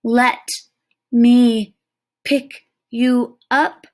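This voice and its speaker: Woman reading as a young boy in a storybook: high, eager, pleading, tripping over words. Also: expressive, a little slow, breathy edge.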